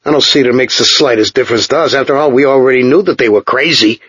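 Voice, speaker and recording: A man playing a glum, homesick engineer who grumbles his lines flatly and without surprise. The tone is a little husky, and the recording is dull, lo-fi and dry. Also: medium pitch, quick pace, dry recording. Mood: weary. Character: weary, morose male engineer far from home.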